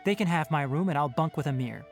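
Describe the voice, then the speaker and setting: A woman voicing a composed, frank young male forest ranger master in a video game, measured, articulate and instructive. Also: low voice, soft background music. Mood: brisk.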